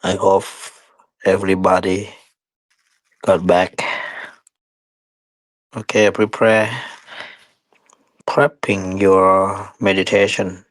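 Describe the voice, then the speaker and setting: Male meditation teacher, very slow and low, close dry sound, instructing in short spaced phrases. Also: little pitch movement, no echo, rough recording. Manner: unhurried, short phrases with pauses; non-standard English grammar.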